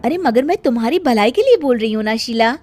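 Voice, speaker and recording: A woman voicing an earnest, sharp-minded young daughter-in-law in an animated story. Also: fairly high pitch, fairly quick, faint music behind, natural voice. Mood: pleading. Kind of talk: animated Hindi moral story dialogue.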